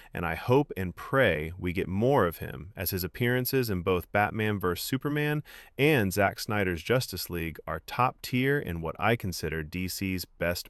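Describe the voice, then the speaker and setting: A man's voice: lowish voice, dry recording, studio quality. A man hosting a fan ranking video, giving enthusiastic, opinionated scripted narration. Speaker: host of a comic film ranking video.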